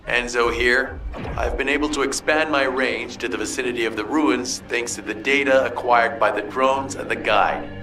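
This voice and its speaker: A man's voice: mid-range voice, fairly even intonation, normal speed, close to an everyday voice. A man voicing a composed headquarters technician in a video game, reporting in a clipped, official way. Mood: businesslike. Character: businesslike male headquarters technician on comms, composed. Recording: distant, clear music behind.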